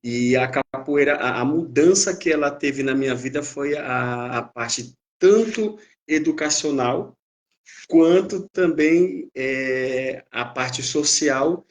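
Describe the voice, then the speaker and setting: A man in his thirties from Brazil, telling his story as a capoeira instructor on a video call, pausing and restarting often. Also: mid-range voice. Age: 30s. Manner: hesitant, many fillers and restarts, uneven pace. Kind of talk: live-stream interview.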